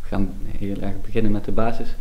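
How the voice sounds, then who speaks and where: A man teaching percussion, talking in a relaxed, easygoing way. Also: fairly low pitch, quick pace, rough recording.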